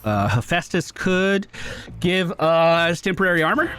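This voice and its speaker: A man chatters through a gameplay session in a loose, casual way, swearing now and then. His pitch sits a little high and swings around a lot. Game music plays steadily behind him. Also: big pitch swings, unhurried, a bit bright. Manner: chatty, swears and laughs while playing. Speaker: gaming video creator.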